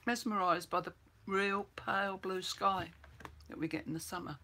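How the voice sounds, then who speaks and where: An older woman from Birmingham with an English accent softened by years in New Zealand, chatting casually to her viewers and reminiscing. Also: medium pitch, steady tone, moderate pace, slight background noise. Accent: English with some New Zealand mixed in. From England (Birmingham). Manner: chatty, reminiscing, repeats herself. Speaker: livestream host.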